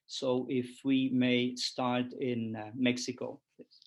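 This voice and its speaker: Gravelly male moderator, flat tone. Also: medium pitch, takes it slowly. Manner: hesitant, fills with "uh" and "um". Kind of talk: chairing an online meeting.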